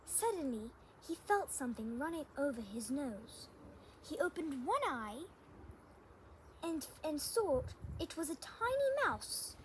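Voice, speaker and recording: High-voiced woman reading a children's story slowly. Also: very animated, slight background noise, low-quality recording. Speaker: storybook reader, channel host. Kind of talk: children's story read-aloud.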